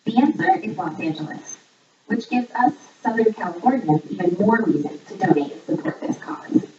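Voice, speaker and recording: A woman's voice: expressive, normal speed, warm tone. Echoey, distant woman presenting. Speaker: presenter reading quiz questions and answers. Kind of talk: scripted voice-over narration, trivia quiz for a fundraiser. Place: voice audio played back in a room during filming. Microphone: far camera picking up played-back audio. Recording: echoey, distant, muffled low-quality playback.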